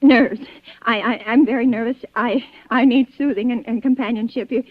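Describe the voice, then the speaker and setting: An actress playing a nervous, demanding, self-pitying invalid wife in a radio thriller, stammering and pleading in a high, tremulous voice. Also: female voice, steady tone, moderate pace, lightly acted. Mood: impatient, imperious. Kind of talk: classic radio thriller acting. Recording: dull lo-fi old radio recording.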